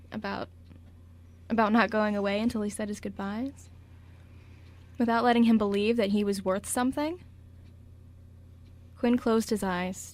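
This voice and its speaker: A woman reading scripted radio drama narration in a solemn, measured storytelling manner. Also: mid-range voice, a little mellow.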